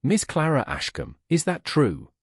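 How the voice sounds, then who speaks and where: A man voicing a composed, protective duke in an audiobook, formal, calm and deliberate. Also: on the high side, husky, dry recording, studio quality, full character voice. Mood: calm, pressing.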